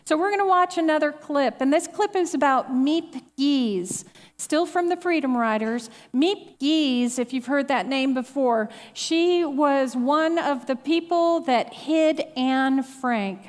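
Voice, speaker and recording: A woman leading a church youth group discussion, talking slowly and reflectively with a few fillers. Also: high-pitched, long reverb tail.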